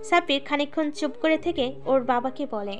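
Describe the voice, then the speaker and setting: Female story narrator, high voice, scripted dramatic narration with a music bed. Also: lively intonation, brisk, dull audio.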